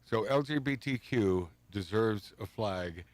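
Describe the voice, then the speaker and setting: A man's voice: lowish voice, a little slow, no room sound. A man hosting a talk radio show, casual and combative, mocking and swearing as he reacts to clips. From Pacific Northwest of the US. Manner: animated, combative, swears and mocks.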